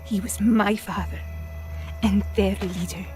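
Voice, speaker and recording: An actress playing a resolute Italian partisan with an Italian accent, speaking softly and earnestly in a game cutscene. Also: female voice, mid-range voice, steady tone, a little slow, faint music behind, natural voice. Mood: sorrowful, hopeful. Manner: earnest, grave, restrained. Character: resolute, grieving female Italian resistance fighter. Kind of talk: dramatic video game cutscene acting.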